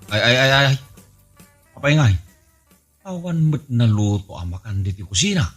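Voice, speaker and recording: A man's voice: medium pitch, big pitch swings, moderate pace, fairly bright tone, soft background music. A man voicing an exasperated husband who scolds his wife. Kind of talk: comedy radio drama acting.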